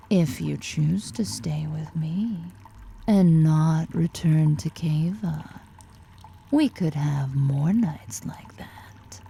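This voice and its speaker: A woman playing a seductive, powerful female drider in an ASMR roleplay, toying and sultry, murmuring with a breathy, husky edge. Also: low-pitched, mellow, slight background noise, exaggerated voice. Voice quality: breathy, husky whisper-edged.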